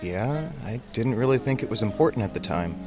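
A man's voice: medium pitch, animated, a little fast, over music, close to an everyday voice. A man playing an easygoing, earnest survivor caring for a baby in an audio drama.

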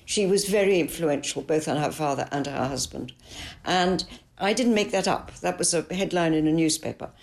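An older woman author answering interview questions in a measured, thoughtful and frank way. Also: sits low, gravelly. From Italy. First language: English. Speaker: author and biographer.

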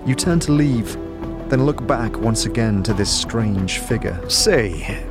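A man's voice: medium pitch, measured pace, over music. A man narrating a fantasy audio drama in scripted second-person storytelling.

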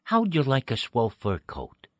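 An actor playing a shifty, pushy street peddler with slangy, wheedling talk in an old-time radio drama. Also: male voice, mid-range voice, wildly swooping pitch, measured pace, a bit bright, slight background noise. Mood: sly, coaxing. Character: shifty male street peddler hawking cheap fur coats.

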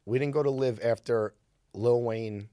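A man hosting a podcast in casual chat, his voice a little raspy and hoarse, telling stories with energy. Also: medium pitch, unhurried, dark tone, dry recording, studio quality. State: slightly hoarse. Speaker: podcast host. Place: studio.

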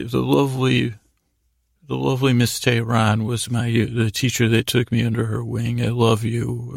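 A middle-aged American man hosting a bedtime podcast, telling meandering stories in a gravelly voice, haltingly trailing off and wandering between thoughts. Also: mid-range voice, no room sound, studio quality. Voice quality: gravelly, creaky voice. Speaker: podcast host. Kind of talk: rambling, meandering bedtime storytelling monologue.

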